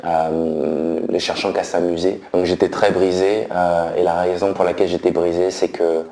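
A man in his thirties from France, giving a slow, hesitant personal faith testimony with many pauses and fillers. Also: deep voice, little pitch movement, vocal fry, dark tone, echoey room. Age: 30s.

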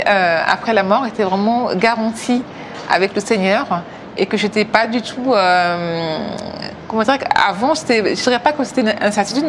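A woman in her thirties from Africa, giving a personal faith testimony hesitantly, with many pauses. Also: mid-range voice, a little hiss or hum. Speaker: testimony speaker.

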